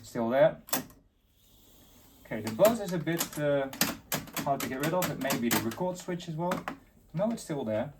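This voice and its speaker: A man's voice: fairly high pitch, lively intonation, normal speed, pauses often, very noisy. A man giving a casual, unscripted hands-on demonstration, muttering to himself as he works. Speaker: host of a tape deck repair channel.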